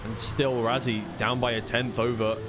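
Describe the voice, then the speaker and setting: Male esports caster, mid-pitched, conversational live race commentary that drifts into thinking aloud, with clear car noise behind. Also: flat delivery, moderate pace. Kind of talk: live play-by-play commentary with casual asides.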